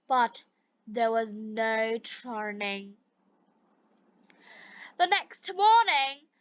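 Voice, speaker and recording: A woman narrating a scripted story for language learners in a deliberate, carefully enunciated way. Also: a little high, sharp tone, no room sound, lo-fi sound. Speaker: narrator of English-learning stories.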